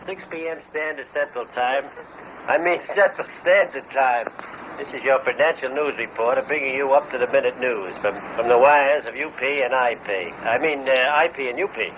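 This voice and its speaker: Medium-pitched male radio announcer, noisy recording. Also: normal speed.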